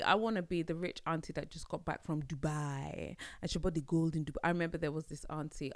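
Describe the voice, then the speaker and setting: A woman's voice: lowish voice, fairly bright tone, dry recording, studio quality. A young woman hosting a solo podcast, talking casually in a playful, slangy way, often laughing. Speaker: podcast host.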